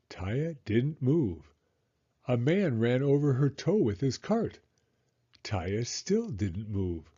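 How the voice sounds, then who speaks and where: A man reading a children's story aloud in an animated, deliberate narrating style. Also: medium pitch, husky, lo-fi sound. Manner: expressive, deliberate, drawn-out phrasing.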